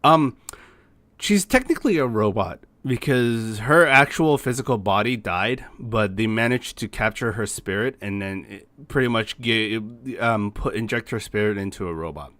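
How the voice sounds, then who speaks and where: Low-voiced man chatting casually, close dry sound. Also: moderate pace, a bit bright, no room sound. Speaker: host explaining game characters. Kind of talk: casual chat commentary.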